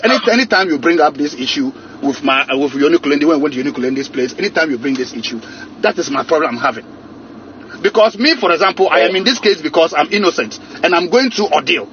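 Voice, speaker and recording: High-voiced, insistent Ghanaian man on phone. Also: a bit bright. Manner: insistent, repeats words and phrases. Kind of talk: private phone conversation, explaining and arguing. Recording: muffled, noisy secretly recorded phone call.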